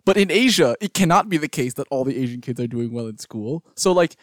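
A man's voice: mid-range voice, lively intonation, fairly quick, no echo, clean studio sound. A young man hosting a casual podcast, asking questions hesitantly with many restarts. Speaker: podcast host.

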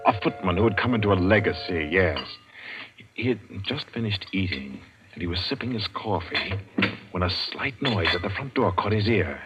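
A man's voice: low-pitched, animated, moderate pace, background noise. A man announcing and narrating a 1940s radio drama in a formal, theatrical way. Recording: dull lo-fi old radio recording with music.

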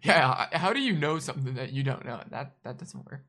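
Woman, extremely low pitch, stream host, teasing interviewer. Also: animated, a little fast, fairly bright tone. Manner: teasing, pushes for answers, talks to chat. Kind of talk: casual interview chat.

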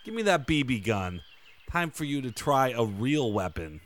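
An actor playing a cocky vampire biker thug, goading and theatrical with mock-grandiose flourishes. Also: male voice, medium pitch, very animated, moderate pace, slight background noise, natural voice. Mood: goading, smug. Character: cocky male biker-type vampire thug, taunting ringleader.

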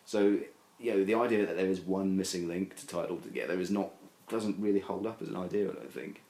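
A man's voice: sits low, fast talker, creaky, darkish tone, reverberant. A man talking casually as a podcast guest, hedging and rambling with many fillers and restarts. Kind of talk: casual discussion.

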